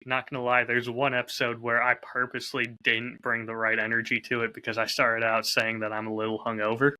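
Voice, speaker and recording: Man with a very bright, forceful voice. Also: medium pitch, monotone, fairly quick, low-quality recording. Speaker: podcast host and audio drama creator. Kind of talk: casual chat.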